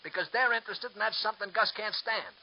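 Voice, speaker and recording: An actor playing a small, tough nightclub manager in a 1940s radio drama, curt and threatening. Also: male voice, high-pitched, fast. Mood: smug. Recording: dull old radio transcription.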